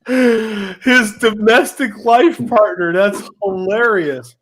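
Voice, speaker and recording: A man co-hosting a casual chat show, laughing a lot and animated. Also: high voice, slow pace. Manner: laughing, animated, does mocking impressions. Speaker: co-host.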